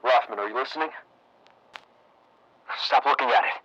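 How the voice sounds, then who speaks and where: A man playing a nervous 1960s history professor who talks in a hesitant, stammering, hushed way. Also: mid-range voice, normal speed, no room sound, lo-fi sound. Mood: anxious. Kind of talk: audio log narration.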